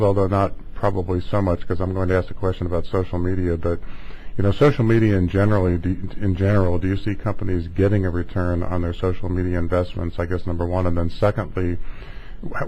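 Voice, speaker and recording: Low-voiced man, rambling interviewer. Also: mellow, slight background noise, rough recording. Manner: tentative, rambling questions. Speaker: interviewer, host. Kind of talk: conversational business interview.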